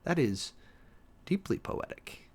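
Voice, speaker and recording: A man's voice: slow pace, a little mellow, in a whisper. A man giving a dramatic, emphatic reading of an official document, breaking off often for sardonic asides and commentary as host. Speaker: host, preacher.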